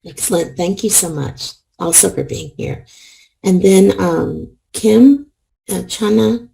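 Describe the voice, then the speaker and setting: Gravelly, mellow-voiced woman on a video call. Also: mid-range voice, slow pace, poor audio. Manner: careful with names, checks pronunciation. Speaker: university professor leading an online class. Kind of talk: calling on participants in a video meeting.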